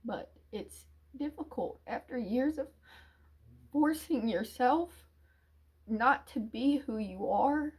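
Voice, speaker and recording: A woman in a confessional monologue, talking hesitantly and emotionally with many restarts and sighs, sometimes dropping to a quiet low mumble. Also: lively intonation, slow, husky. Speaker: YouTuber.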